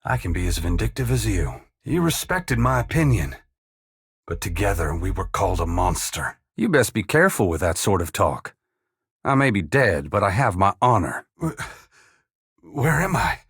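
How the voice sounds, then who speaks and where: An actor playing a humble, weary dead farmer-saint in a fantasy video game, plain-spoken yet solemn, with a gravelly, husky voice. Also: male voice, on the low side, big pitch swings, normal speed, dry recording, studio quality, full character voice. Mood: bitter, wounded, then puzzled, guarded. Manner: plain-spoken rustic phrasing mixed with solemn archaic oratory. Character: humble dead farmer-saint, once god-touched, wry, earnest and weary. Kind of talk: dramatic fantasy game dialogue.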